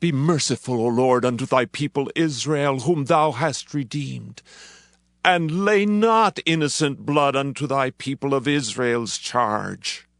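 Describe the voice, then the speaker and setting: A man's voice: medium pitch, a little slow, fairly bright tone, no room sound, clean studio sound. A man playing a solemn Israelite elder in a dramatized scripture reading, pleading reverently and formally.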